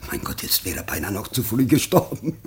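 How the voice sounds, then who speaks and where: An actor in a radio play, playing a greedy, calculating lawyer with a furtive, insistent delivery. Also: male voice, on the low side, sing-song, a little fast. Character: greedy, calculating male lawyer, eager legacy hunter. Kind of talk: theatrical German radio play acting.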